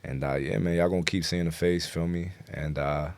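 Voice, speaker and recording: A man's voice: low-pitched, steady tone, brisk, a little mellow, no echo. A man talking casually as a rapper guest in an interview, slangy, profane and self-assured. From New York City, United States.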